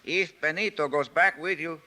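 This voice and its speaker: An actor playing a wary older uncle in a 1950s radio drama, speaking English, soft and measured. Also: male voice, fairly high pitch. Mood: guarded. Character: wary old Mexican uncle, guarded and proud.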